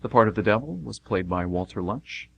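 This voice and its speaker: Male announcer-narrator, slightly deep, scripted radio drama links, faint background noise. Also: lively intonation, a little fast, creaky, fairly bright tone.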